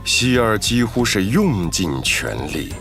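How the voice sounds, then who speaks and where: A man delivering scripted dramatic narration of an animated story. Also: lowish voice, takes it slowly, faint music behind.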